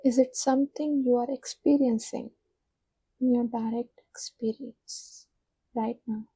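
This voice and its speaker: A woman leading a guided meditation in a soft, soothing manner, giving unhurried instructions with long pauses. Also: on the high side, steady tone, slightly rough, no echo, rough recording.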